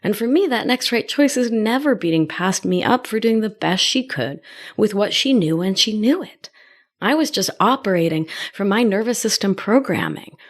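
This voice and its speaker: A woman's voice: medium pitch, animated, moderate pace. A middle-aged woman hosting a coaching podcast, talking in a playful, affectionate way with pet names and nerdy asides. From Argentina. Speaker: podcast host and life coach. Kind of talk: warm coaching monologue.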